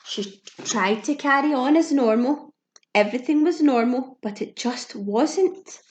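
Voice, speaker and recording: High-voiced woman reading to young children, echoey. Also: measured pace, highs cut off, a little hiss or hum. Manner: expressive, simple child-directed speech with audible gasps and questions. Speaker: teacher reading a picture book to young children. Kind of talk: children's storybook read-aloud with asides to listeners. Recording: echoey room sound.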